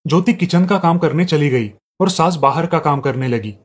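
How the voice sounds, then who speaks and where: A man's voice: on the high side, moderate pace, slightly rough. Man narrating a cartoon story. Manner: steady storytelling.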